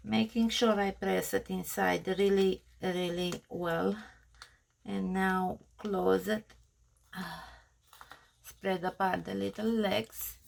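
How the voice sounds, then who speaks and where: A woman with a strong Romanian accent, Romanian her first language, walking viewers through a craft project in halting speech with many pauses and fillers. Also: mid-range voice, fairly even intonation, creaky. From Australia. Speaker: craft tutorial host. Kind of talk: crafting tutorial narration.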